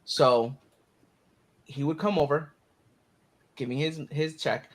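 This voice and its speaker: Young guy hosting a live stream, highish voice, cracks himself up telling stories. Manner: laughs a lot, quick and animated. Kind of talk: casual chat, storytelling.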